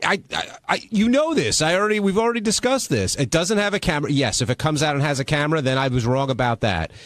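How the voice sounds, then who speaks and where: A man's voice: fairly high pitch, lively intonation, quick pace, a bit bright, no room sound. A man on a radio panel in a casual debate, pressing his points in an insistent, challenging way. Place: dry radio studio.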